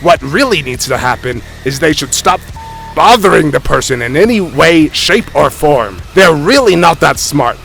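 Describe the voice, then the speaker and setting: A man's voice: a little high, big pitch swings, moderate pace, gravelly, fairly bright tone, full character voice, low-quality recording. A man playing a boastful, indignant internet tough guy who rants profanely in a dramatic reading of forum posts. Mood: boastful, defensive. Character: boastful, ranting, insecure internet tough guy claiming royalty. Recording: music under the voice.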